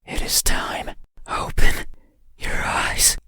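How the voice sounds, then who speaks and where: A slow, whispering, lonely monster. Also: pushed and bright. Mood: menacing, insistent. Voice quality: breathy, unvoiced whisper. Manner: slow, deliberate, halting phrases. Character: lonely, menacing bedside monster yearning to be seen. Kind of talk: whispered ASMR horror game monologue.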